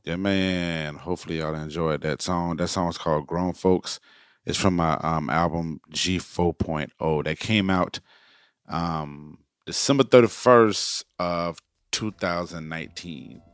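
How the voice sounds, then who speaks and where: A man's voice: sits low, a little slow, clean tone, dry recording, clean studio sound. A man in his forties hosting a podcast, talking in a loose, rambling casual chat full of slang and restarts. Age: 40s. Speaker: podcast host.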